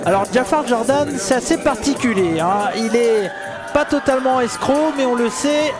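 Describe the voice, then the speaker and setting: Chatty high-voiced wrestling commentator from Brittany. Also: male voice, moderate pace. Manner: lively, chatty, often addresses co-host. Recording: loud crowd and music behind.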